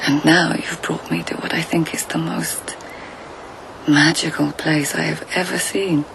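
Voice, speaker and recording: An actress playing a dreamy, tender, observant court lady in a radio drama, musing in a hushed, breathy way. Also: low voice, fairly even intonation, a little slow, noisy background. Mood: dreamy, intimate. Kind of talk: intimate radio drama acting.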